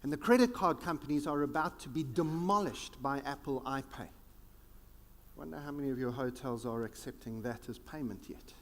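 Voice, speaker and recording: A South African man giving a conference keynote, with dramatic pauses and a sweeping, emphatic delivery. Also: medium pitch, long reverb tail. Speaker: keynote speaker. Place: large conference hall.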